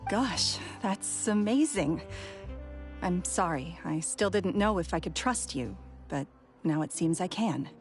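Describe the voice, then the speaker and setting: Lowish, hesitant young woman. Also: expressive, measured pace, faint music behind. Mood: relieved, apologetic. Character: wary, timid, apologetic young woman. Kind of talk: English video game voice acting.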